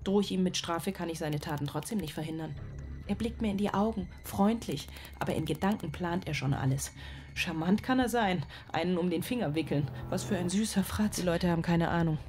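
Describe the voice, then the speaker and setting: An actress playing an obsessive, distrustful single mother in a radio drama, cold and deliberate. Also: on the low side, darkish tone, slight background noise, lightly acted. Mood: cold, suspicious. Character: obsessive, distrustful single mother plotting against her child. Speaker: actress, lead and narrator of a radio play. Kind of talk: German radio drama monologue. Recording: music under the voice.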